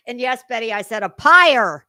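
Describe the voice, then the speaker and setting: Bright, high-pitched sarcastic woman. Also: no echo, clean studio sound. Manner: sarcastic, mocking, foul-mouthed. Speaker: livestream commentary host. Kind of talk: casual reaction commentary over a played clip.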